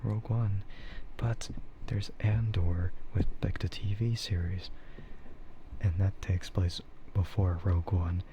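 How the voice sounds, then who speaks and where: A man streaming in a soft ASMR chat style, rambling and easygoing, hesitating and often slipping into a whisper. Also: deep voice, steady tone, slow pace. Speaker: VTuber streamer. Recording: muffled lo-fi audio with clear noise.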